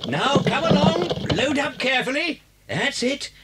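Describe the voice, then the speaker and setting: A man's voice: very high-pitched, sing-song, very noisy, full character voice. A man with a British accent playing a clever, cocky father fox in a children's audio drama, theatrical and persuasive. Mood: jovial, bossy. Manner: theatrical, sly, drawn-out phrasing.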